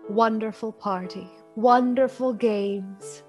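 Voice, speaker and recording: An actress narrating a classic tale for a radio play as a delighted, emphatic storyteller. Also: female voice, a little high, slow, faint music behind. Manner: emphatic, expressive.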